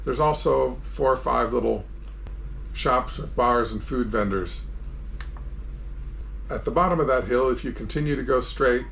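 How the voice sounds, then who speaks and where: A man's voice: medium pitch, gravelly, a bit bright, slight background noise. A man in his sixties giving an informal, plain-spoken narration as a vlog host, explaining things step by step. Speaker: sailing vlogger and host. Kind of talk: informal narrated travel tour and how-to.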